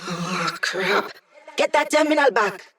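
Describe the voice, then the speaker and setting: A woman's voice: high-pitched, sing-song, slow pace, vocal fry, fairly bright tone, full character voice. An actress voicing a cocky, playful young female hacker who guides a squad in a video game, with a teasing, breezy manner. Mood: brisk, urgent. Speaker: hacker and mission support. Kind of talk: video game character voice lines.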